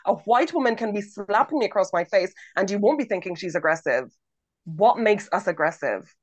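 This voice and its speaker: A woman's voice: medium pitch, expressive, a little fast, no room sound, lo-fi sound. Woman podcast co-host, big projecting voice, emphatic and repetitive, dry close mic. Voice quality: big strong voice. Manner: emphatic, repeats words for emphasis. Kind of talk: conversational podcast commentary.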